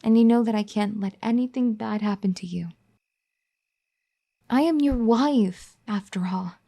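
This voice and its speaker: A woman voicing a firm but caring young wife who scolds and then gently pleads in an audio roleplay. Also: on the high side, a little slow. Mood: tender, pleading. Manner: bossy teasing scolding that turns gentle and pleading. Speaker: ASMR roleplay performer. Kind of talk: audio roleplay addressed to the listener.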